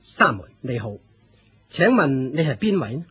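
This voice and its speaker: An actor playing a proud, fervent leader of a fire cult in a Hong Kong Cantonese radio drama, declaiming with pompous authority. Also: male voice, mid-range voice, big pitch swings, measured pace, a bit bright, husky, dull audio, exaggerated voice. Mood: authoritative.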